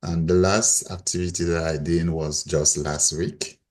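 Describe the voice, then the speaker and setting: A man's voice: deep voice, level tone, unhurried, darkish tone. A middle-aged man with a Cameroonian accent, sharing personal stories as a panel guest. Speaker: panel guest, volunteer mentor.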